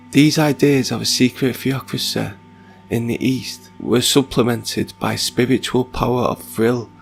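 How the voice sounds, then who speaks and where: A man with a croaky, sore-throated voice, reading passages aloud and then musing on them in casual asides. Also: medium pitch, poor audio. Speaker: video narrator. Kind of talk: reading and commenting on mythology.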